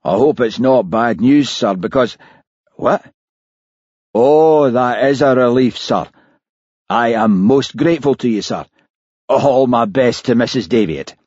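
A man's voice: medium pitch, a little slow, pauses often, a little mellow, slightly rough, no echo, pitched above the performer's own voice. Man reading as a crude cop, blustering, raised voice, dull lo-fi sound. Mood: fawning. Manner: blustering, then meek. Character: Scottish policeman Blair, crude and blustering. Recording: dull lo-fi, dry.

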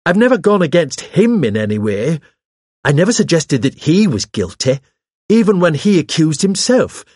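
A nervous, obstinate man, acted by a male narrator in an audiobook story, pitched well up and agitated. He presses his points eagerly in a husky, shrill voice that swings restlessly. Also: sing-song, moderate pace, a little mellow, no echo, clean studio sound. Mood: indignant. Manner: fidgety, eager, insistent. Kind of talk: audiobook character voice.

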